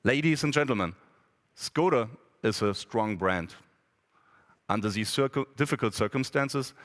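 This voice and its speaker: A man giving a measured, formal prepared speech as a board member at a press conference. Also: lowish voice, fairly bright tone. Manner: measured, formal delivery with occasional restarts. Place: conference hall. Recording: long hall reverb.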